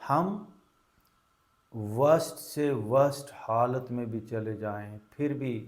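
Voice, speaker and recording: Slow-paced man guiding a meditation. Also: medium pitch. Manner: calming, deliberate, short phrases with long pauses. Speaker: yoga and meditation teacher. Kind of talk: guided meditation, spoken instruction.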